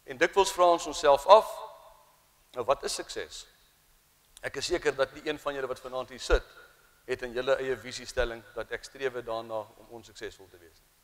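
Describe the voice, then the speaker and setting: A man speaks from a podium in a large ceremonial hall, his words slow and emphatic. His voice sits at an ordinary middle pitch. A long reverb trails after each phrase. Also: fairly even intonation. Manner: measured, emphatic public speech. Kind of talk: formal ceremony address.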